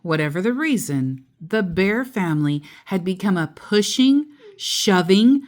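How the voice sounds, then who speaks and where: A woman storyteller reading a children's picture book aloud expressively, drawing out key words and adding playful asides. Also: medium pitch. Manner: animated, drawn-out emphasis with playful asides.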